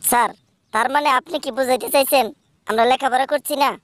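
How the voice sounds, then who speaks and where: A woman's voice: high-pitched, fairly even intonation, a little fast, no room sound, natural voice. A woman voicing a cheeky schoolchild in a comedy cartoon, answering with matter-of-fact confidence. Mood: confident, storytelling.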